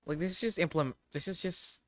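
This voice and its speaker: A man giving casual, halting livestream commentary on a game, rambling and often trailing off mid-thought. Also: high-pitched, no echo. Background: background music.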